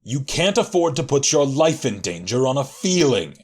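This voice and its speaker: A man's voice: mid-range voice, big pitch swings, moderate pace, slightly rough. A man playing a blunt, irritable and stubborn military marshal in an audio drama. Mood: stern. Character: gruff, stubborn military marshal, guilt-ridden, defensive. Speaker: voice actor. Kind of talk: audio drama dialogue and narration. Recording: music under the voice.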